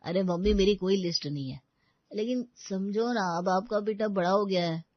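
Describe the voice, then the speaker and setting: A woman's voice: medium pitch, moderate pace, dry recording, highs cut off, lightly acted. Woman voicing a cheeky, pleading college-age son.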